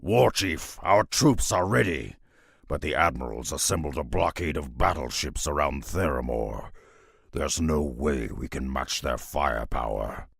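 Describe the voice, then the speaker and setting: A man voicing a grim, loyal half-ogre beastmaster in a fantasy video game, with a gravelly growl and solemn, terse military speech. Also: a bass voice, animated, measured pace, a bit bright, no echo, studio quality, exaggerated voice.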